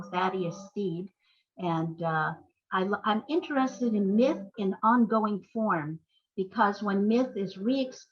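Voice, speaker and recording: An older American woman speaking as an interview guest in a thoughtful, measured, articulate way. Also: medium pitch, a bit bright, husky. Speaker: guest, playwright. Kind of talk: reflective interview conversation. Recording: dull, lo-fi sound.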